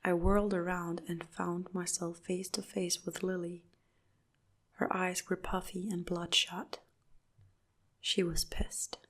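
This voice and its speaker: A woman narrating a dark first-person story in a soft, hushed whisper throughout. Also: lowish voice, little pitch movement, takes it slowly.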